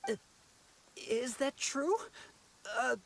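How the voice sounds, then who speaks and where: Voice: high voice, animated, extremely slow, gravelly, full character voice. An actor playing an eager young archery trainee in a video game, with an earnest, hesitant delivery. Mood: hesitant, pleading. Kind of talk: video game character acting.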